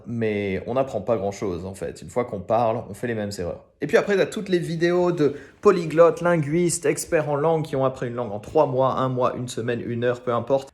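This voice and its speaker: A man's voice: medium pitch, darkish tone. A man from France in his thirties, a French teacher talking frankly and informally to his channel's viewers, thinking aloud as he goes. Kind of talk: casual talk to camera.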